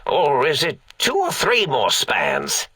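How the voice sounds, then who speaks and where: Theatrical old mad scientist, swooping pitch. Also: male voice, wildly swooping pitch, slow pace, bright, forceful tone, breathy edge, no room sound, full character voice. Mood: addled, genial. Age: older. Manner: pompous, rambling, doddery, eccentric. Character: addled old male mad scientist, disembodied brain in robot. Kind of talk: theatrical video game character acting.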